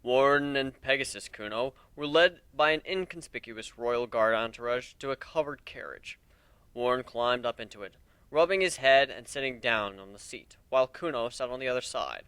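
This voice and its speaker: Bright-voiced man reading a story aloud, dry sound. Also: medium pitch, moderate pace, smooth, no echo. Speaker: narrator. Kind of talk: dramatic reading of fan fiction, scripted narration.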